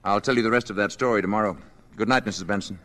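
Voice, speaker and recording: An actor in a radio drama playing a calm, courteous alien visitor who passes as human, speaking with polite self-assurance. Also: male voice, lowish voice, racing, lightly acted. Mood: polite, friendly.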